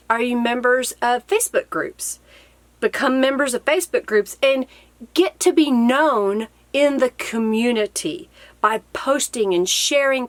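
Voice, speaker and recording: Middle-aged woman with a higher-pitched voice. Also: no room sound. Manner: explanatory, asks and answers own questions. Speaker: craft video host and blogger. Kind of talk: casual instructional talk to camera. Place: small cluttered indoor craft room.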